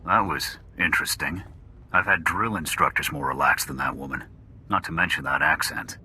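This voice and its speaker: A wry soldier sidekick in a sci-fi game. The voice is extremely deep yet hard-edged and forceful, delivering dry remarks with faint ambient noise behind. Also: male voice, normal speed, full character voice. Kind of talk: video game character acting.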